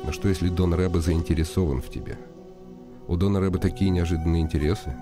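A man plays a brooding nobleman hero in a radio play. His voice sits very low, deliberate and ironic with an impatient, commanding edge, over clearly audible music. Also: fairly even intonation, lightly acted. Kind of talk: radio drama acting with inner monologue. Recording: music behind the voice.

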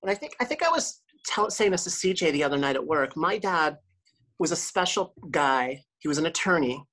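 A woman with a low, husky voice talks at length as a radio host on a podcast. She rambles cheerfully and often restarts her sentences as she tells her stories. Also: expressive, fairly quick, fairly bright tone. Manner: chatty, lots of restarts. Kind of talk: casual interview talk.

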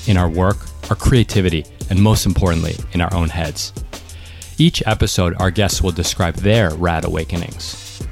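A man hosting a podcast, reading a scripted intro and then interviewing a guest, with a measured manner and some hesitant restarts. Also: low voice, fairly even intonation, vocal fry, darkish tone, faint music behind. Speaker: podcast host.

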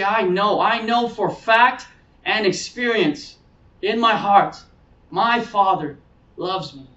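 A man's voice: high-pitched, fairly bright tone. A man preaching a sermon as a pastor, teaching conversationally and earnestly, repeating phrases for emphasis. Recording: roomy, distant mic, dull sound.

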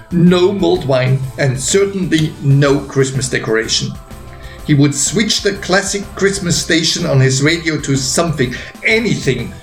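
A man narrating a written story in a deliberate, expressive way with pauses, as an author reading his own work. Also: on the high side, gravelly. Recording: roomy echo, faint music behind.

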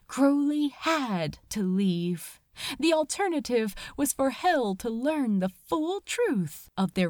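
A woman giving a dramatic, expressive and measured reading of a scripted story. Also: a little high, no echo, clean studio sound. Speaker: amateur audiobook reader.

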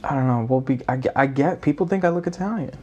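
A man's voice: medium pitch, quick pace, mellow, breathy edge. A young man co-hosting a podcast, chatting casually in a rambling way, with many restarts and slang. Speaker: podcast co-host. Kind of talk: casual chat.

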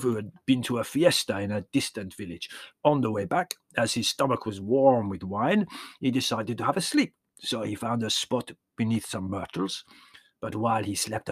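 A man's voice: on the low side, breathy edge, no echo. A man doing a toothless old village shepherd. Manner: slow, dramatic, relishing gruesome detail. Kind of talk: audiobook character voice.

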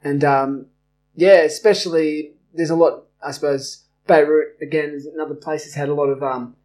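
A man's voice: a little high, fairly even intonation, normal speed, a little mellow, low-quality recording. A male podcast host asking questions in a friendly, rambling way, with many "um"s and restarts. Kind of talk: casual podcast interview.

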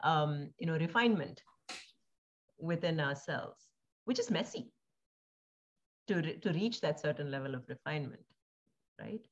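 A woman born in India and raised in Singapore, speaking thoughtfully as an interview guest, with frequent pauses, fillers and restarts. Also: low-pitched, lively intonation, lo-fi sound. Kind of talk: reflective conversational interview.

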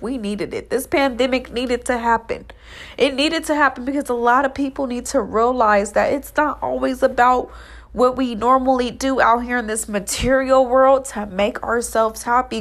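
A young woman talks straight to her audience in an uplifting, preachy monologue. Her nose sounds blocked from allergies. Her voice sits a little high, and she repeats her lines and draws words out for weight. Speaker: vlogger. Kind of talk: motivational monologue to camera.

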